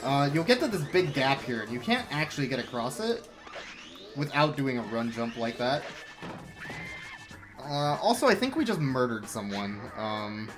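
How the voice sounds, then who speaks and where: A male speedrunner chats casually through a live run, full of dry jokes and sarcasm. Game music plays clearly behind his voice, and his pitch sits in a middle range. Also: big pitch swings, normal speed.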